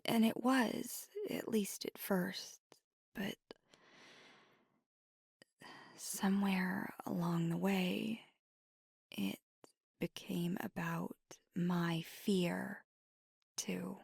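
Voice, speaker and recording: A woman voicing a gentle, remorseful vampire girlfriend in an intimate ASMR roleplay, speaking in a halting, breathy whisper close to the microphone. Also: lowish voice, fairly even intonation, no echo, exaggerated voice. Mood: guilty, fearful. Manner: halting, hushed, mostly whispered confession. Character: gentle, remorseful female vampire girlfriend, anxious, tender confessor. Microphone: close, dry microphone.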